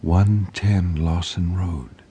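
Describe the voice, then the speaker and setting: A man voicing a badly hurt middle-aged woman who speaks in a faint, hushed whisper in a true-crime audiobook. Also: low voice, steady tone, very slow, slightly rough, no echo, exaggerated voice.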